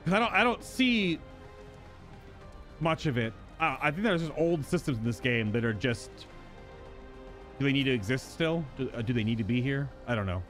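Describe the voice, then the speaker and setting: A man's voice: medium pitch, expressive, normal speed, long pauses, fairly bright tone, faint music behind. A man doing casual live game commentary, musing and rambling as he thinks aloud. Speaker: game streamer.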